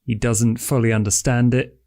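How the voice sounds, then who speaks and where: A man's voice: mid-range voice, little pitch movement, moderate pace, darkish tone, no room sound, clean studio sound. A man playing in a tabletop game, chatting casually in a laconic, hesitant way. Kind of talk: casual, improvised roleplay chat.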